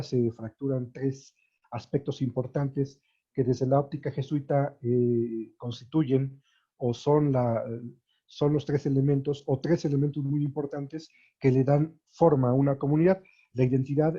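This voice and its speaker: Dark, husky lecturer. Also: male voice, mid-range voice, dull audio. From Mexico. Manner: thinking aloud with many fillers and restarts.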